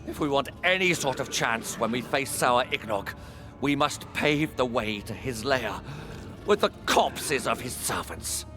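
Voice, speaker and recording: An actor playing an earnest, driven knight in a fantasy video game, with an urgent, emphatic delivery. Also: male voice, mid-range voice, expressive, a little slow, music in the background, lightly acted. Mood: determined. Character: earnest, driven male knight, vengeful young warrior. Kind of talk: dramatic video game acting.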